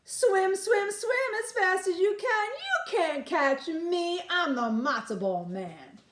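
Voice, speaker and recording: A woman's voice: very high-pitched, lively intonation, takes it slowly, a bit bright, echoey room, voice pushed up, heavily acted. A woman voicing a cocky, taunting little matzo ball boy in a storybook, boasting gleefully. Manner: gleeful boasting with Yiddish words.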